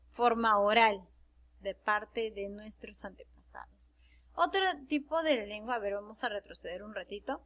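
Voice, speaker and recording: Woman, Peruvian accent, teacher, recorded lesson, slightly high voice, fillers and self-corrections. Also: a bit bright. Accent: Peruvian Spanish. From Peru. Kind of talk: recorded online class lecture.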